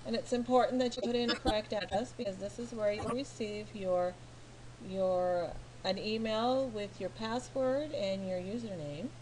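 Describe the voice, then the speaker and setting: A middle-aged American woman from California, a teacher talking slowly and patiently through each step of filling in a form online. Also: medium pitch, darkish tone, slight background noise, low-quality recording. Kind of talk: screen walkthrough tutorial.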